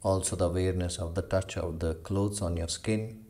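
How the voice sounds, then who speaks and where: A man leading a guided meditation as a yoga instructor, giving slow, measured instructions with long pauses. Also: deep voice, steady tone, mellow.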